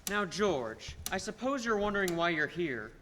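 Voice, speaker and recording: Young man playing a bright-voiced, scheming tycoon in an echoey hall. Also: high voice, sing-song. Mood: smug, calculating. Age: young adult. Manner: patronizing, persuasive, deliberate emphasis. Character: scheming rich businessman, condescending, smooth-talking and domineering. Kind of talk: filmed radio play acting. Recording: echoey hall, background noise.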